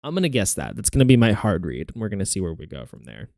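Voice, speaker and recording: A man's voice: sits low, very animated, fast talker, gravelly, darkish tone, no room sound, clean studio sound. A man learning a language on camera, repeating words after the audio and puzzling over grammar aloud in a casual way. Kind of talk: casual study vlog, thinking aloud.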